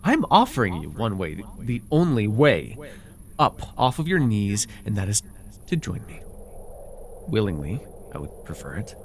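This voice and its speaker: A man playing a scheming, possessive dark sorcerer ruler in a fantasy roleplay, speaking theatrically and condescendingly with sighs and deliberate pauses. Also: fairly low pitch, huge pitch swings, slight background noise, full character voice. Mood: angry, domineering, then quiet menace. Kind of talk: immersive ASMR fantasy roleplay acting.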